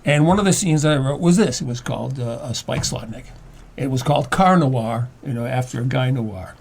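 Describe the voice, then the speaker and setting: Older man, halting medium-pitched talker. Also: vocal fry. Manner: halting, stammering, repeats words while explaining. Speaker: studio guest, playwright and former teacher. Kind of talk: conversational interview.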